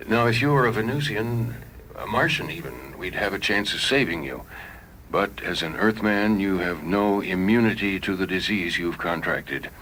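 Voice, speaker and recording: An actor playing a grave, blunt, matter-of-fact doctor in a science fiction radio drama. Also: male voice, low-pitched, normal speed, a bit bright, slight background noise, poor audio. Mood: grave, clinical.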